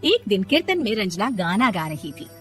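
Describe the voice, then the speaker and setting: A woman's voice: mid-range voice, big pitch swings, moderate pace, husky, faint music behind. A woman narrating a cartoon story in a plain, matter-of-fact way.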